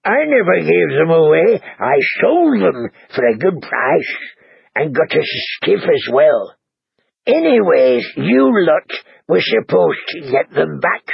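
A man's voice: on the high side, very animated, slow pace, vocal fry, husky, no echo, highs cut off, voice pushed up, full character voice. A man voicing a grumbling, defensive middle-aged innkeeper who whines and complains. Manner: whiny, complaining.

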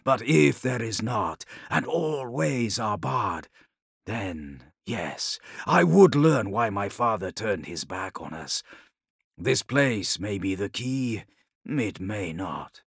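A man playing a grave, proud warlord khan in an audiobook, contemplative, with measured and formal phrasing. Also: on the low side, sing-song, gravelly, fairly bright tone, breathy edge, no echo, clean studio sound, heavily acted.

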